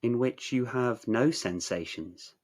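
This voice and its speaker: A man narrating a guided meditation in a measured, soothing, instructional way, leaving long pauses. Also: mid-range voice, darkish tone.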